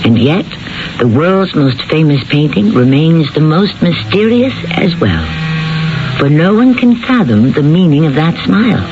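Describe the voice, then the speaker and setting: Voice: medium pitch, very animated, measured pace. A host delivering dramatic scripted narration for a radio mystery play. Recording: dull vintage radio broadcast with music.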